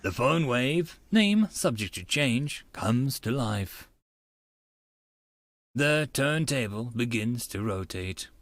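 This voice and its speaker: A man's voice: mid-range voice, animated, slow pace, no room sound. A man reading a visual novel aloud as he plays, with casual muttered asides. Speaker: narrator and game player.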